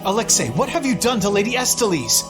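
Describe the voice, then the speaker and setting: A man's voice: sits high, sing-song, fast talker, music in the background. A man playing a noble young knight captain in a video game, formal, solemn and earnest. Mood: outraged. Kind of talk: dramatic video game voice acting.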